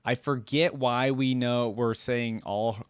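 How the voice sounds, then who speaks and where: A man's voice: medium pitch, steady tone, slow pace, background noise. A man hosting a reaction video, commenting casually in slangy, amazed fan talk.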